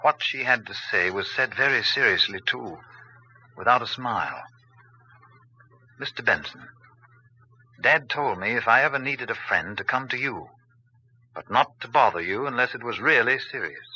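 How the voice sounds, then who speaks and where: An actor playing a grave, commanding detective chief in a 1940s radio drama, speaking in a serious, measured way. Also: male voice, mid-range voice, expressive, pushed and bright, slight background noise. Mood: grave, troubled. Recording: muffled 1940s radio broadcast.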